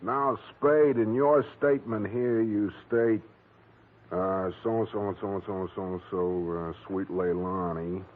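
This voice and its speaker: An actor playing a plodding, officious police captain with an American accent, in 1940s radio drama style. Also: male voice, fairly low pitch. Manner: officious, halting reading of statements. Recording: muffled, slightly noisy vintage radio recording.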